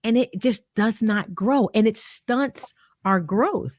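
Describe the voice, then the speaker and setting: A woman's voice: fairly high pitch, slow pace, no room sound, clean studio sound. A woman hosting a faith-based leadership talk, speaking emphatically and building her points through analogies.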